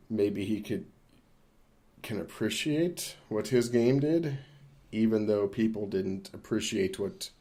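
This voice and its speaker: Mellow low-voiced man, casual podcast talk. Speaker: podcast co-host. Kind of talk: casual discussion of a film and games.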